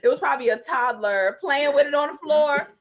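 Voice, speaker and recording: A middle-aged American woman chatting on a podcast, telling stories and giving advice in a folksy, expressive way. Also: high voice, a little fast. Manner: folksy, expressive, acts out exchanges. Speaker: podcast guest, mother and coach. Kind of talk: animated conversational storytelling and advice.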